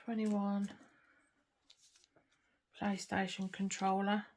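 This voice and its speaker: A woman's voice: medium pitch, monotone, drawn-out words, a little mellow. A woman chatting casually to camera while she works on a craft kit, murmuring and trailing off, a little sniffly. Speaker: craft vlogger.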